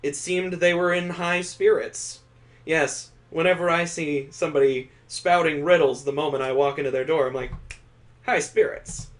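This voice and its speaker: Guy doing a let's play, slightly high voice, reads the story then cracks sarcastic asides. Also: steady tone, normal speed. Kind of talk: reading a visual novel aloud with joking commentary.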